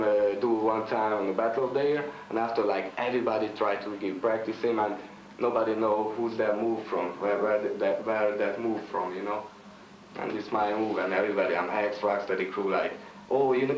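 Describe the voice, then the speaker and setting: A man from Hungary, a Hungarian speaker, talking in halting broken English in a casual interview. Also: on the low side, slight background noise. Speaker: b-boy crew member. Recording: echoey room with music behind.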